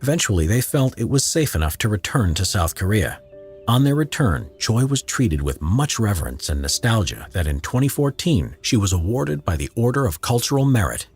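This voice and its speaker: A man narrating a history documentary in a measured, expressive, scripted storytelling style. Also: lowish voice. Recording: narration with faint music bed.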